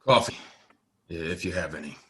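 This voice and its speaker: Low, laconic trail rider played by a man. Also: expressive, normal speed, bright, forceful tone, a little hiss or hum. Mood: cool, guarded. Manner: terse, low-key, guarded. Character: laconic, mysterious trail rider in the Old West. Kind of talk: Western audio play acting.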